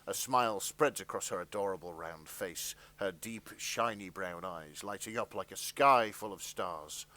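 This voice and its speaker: A man's voice: deep voice, a little slow, bright, forceful tone. A man hosting a podcast, reading fan fiction aloud with mock-serious narration.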